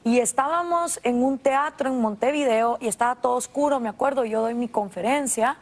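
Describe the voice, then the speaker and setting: A woman in her thirties with a Guatemalan Spanish accent, telling stories in an interview with animated voiced asides. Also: fairly high pitch, fairly quick. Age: 30s. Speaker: guest. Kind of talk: interview conversation.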